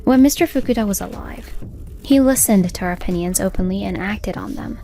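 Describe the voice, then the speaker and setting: A woman's voice: medium pitch, brisk, dark tone, soft background music, natural voice. A woman voicing an earnest, assertive young female store manager in a comic dub, speaking emphatically. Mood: firm, challenging. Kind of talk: dubbed comic voice acting.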